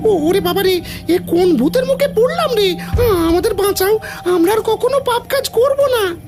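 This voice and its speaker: A woman's voice: very high-pitched, lively intonation, normal speed, over music. A woman voicing a cowardly, panicked cartoon villager, wailing and pleading for help. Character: panicky, cowardly villager floating helplessly in the air.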